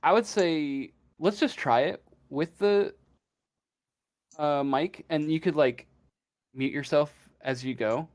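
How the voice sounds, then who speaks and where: Guy running a tabletop rpg, slow and halting, kinda unsure, feeling out his words. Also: fairly high pitch, darkish tone. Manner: halting, tentative delivery, searching for words. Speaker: game master. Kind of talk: improvised narration and chat in a tabletop game.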